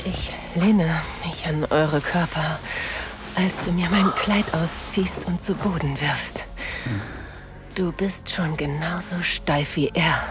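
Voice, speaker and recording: An actress playing a submissive, aroused woman, narrating intimately in a breathy, whispery voice in an erotic audio drama. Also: sits low. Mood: aroused, yielding. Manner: slow, sensual delivery. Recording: music behind the voice.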